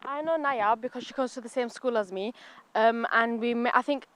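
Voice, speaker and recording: An eighteen-year-old woman with a smooth, clear voice pitched a little high. She explains things eagerly and fluently in a casual interview. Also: moderate pace, a bit bright, poor audio. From Bradford, England. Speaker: interviewee, stage performer.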